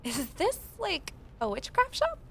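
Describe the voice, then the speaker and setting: A woman's voice: extremely high pitch, wildly swooping pitch, unhurried, a little hiss or hum, full character voice. A woman playing a curious, determined investigator who narrates an audio drama in a reflective, conversational way, with ambience behind her. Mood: curious, hesitant. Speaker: narrator and lead character. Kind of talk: first-person audio drama narration and dialogue.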